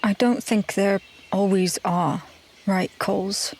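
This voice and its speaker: A woman plays a haunted fantasy adventurer at the game table, guarded and somber. The words come measured and hesitant at a medium pitch, with faint noise behind. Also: fairly even intonation, a little mellow. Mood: pensive, grave. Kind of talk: in-character tabletop roleplay.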